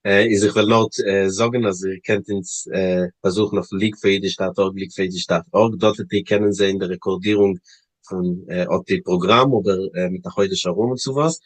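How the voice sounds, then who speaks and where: A man with a fairly low voice, recorded dry and close, hosts an informal interview. He speaks haltingly, searching for his words as he moves between questions and announcements. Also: steady tone, no echo. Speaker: interviewer and event host. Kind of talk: informal online interview, hosting.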